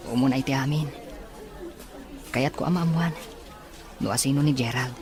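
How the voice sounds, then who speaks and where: A man's voice: medium pitch, normal speed, a crowd in the background, natural voice. A man with a Filipino accent acting an earnest, respectful young man in an audio drama. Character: earnest young man searching for his twin, respectful son.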